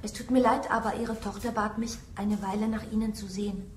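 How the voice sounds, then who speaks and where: An actress playing a polite, dutiful, timid young housemaid in a radio play, speaking deferentially. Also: female voice, mid-range voice, fairly even intonation, a little fast, a little hiss or hum, natural voice. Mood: hesitant, puzzled. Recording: very echoey, distant, music behind.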